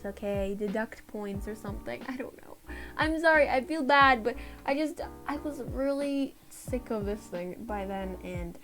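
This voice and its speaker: Tired, slightly raspy young woman rambling. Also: fairly high pitch. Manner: rambling, apologetic, self-deprecating. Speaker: art channel host. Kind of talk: casual voiceover over a speedpaint. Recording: voiceover over loud background music. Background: background music.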